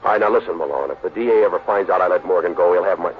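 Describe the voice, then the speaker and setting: An actor in a 1940s radio drama playing a blunt, skeptical homicide lieutenant. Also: male voice, deep voice, racing, close to an everyday voice. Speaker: police lieutenant. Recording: tinny old radio broadcast with hiss.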